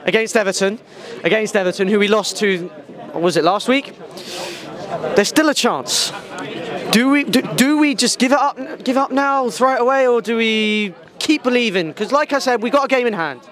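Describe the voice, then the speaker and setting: A man's voice: sits high, moderate pace, fairly bright tone. A young man in his twenties hosting a chatty post-match street interview outside a stadium at night with a handheld mic. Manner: chatty, upbeat, teasing. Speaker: fan channel interviewer. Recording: outdoor crowd noise.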